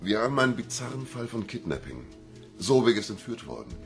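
Serious police inspector, lowish voice, lively intonation, radio crime drama, faint music behind. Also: male voice, a little fast. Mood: serious, urgent. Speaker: actor playing police commissioner.

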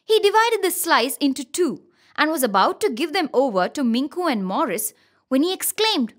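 A woman narrating a scripted children's story in an expressive, theatrical manner. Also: high-pitched, normal speed. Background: children's music.

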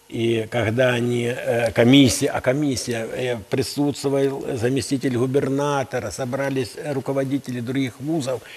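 A man in his sixties giving an earnest patriotic interview as a studio guest, halting and emphatic in a declamatory way. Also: medium pitch, vocal fry, a little mellow, husky. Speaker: university rector, interview guest. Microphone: headset.

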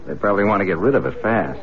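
An easygoing, practical husband in a radio play. His lowish voice moves freely up and down, on a dull lo-fi recording. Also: animated, fast talker, crowd noise. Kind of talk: radio drama acting.

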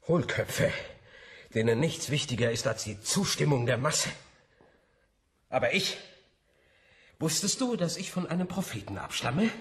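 Vengeful mad scientist, swooping intonation. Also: male voice, mid-range voice. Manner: mocking, theatrical. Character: vengeful, gloating male mad scientist, grandiose and bitter. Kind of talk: German radio drama acting.

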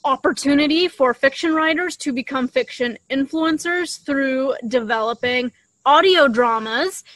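A woman's voice: high voice, a bit bright, no echo, low-quality recording. A young woman, chatting casually as a podcast co-host. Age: young adult. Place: outdoors in a leafy garden. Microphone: desktop condenser mic shared at close range.

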